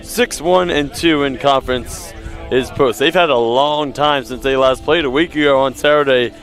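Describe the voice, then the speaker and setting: A male play-by-play caller for a college soccer game, his pitch sitting right in the ordinary middle. He works through standings and recent results in a steady, stat-heavy flow. When he gets a detail wrong, he stops and fixes it on air. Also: moderate pace, soft background music. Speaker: play-by-play commentator. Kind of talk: live sports commentary.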